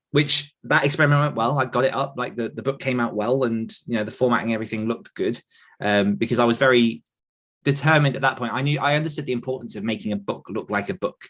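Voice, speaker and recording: A man's voice: medium pitch, a bit bright. A British man in his thirties telling his own career story in a casual, rambling, chatty interview style. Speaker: podcast guest, author and writing coach.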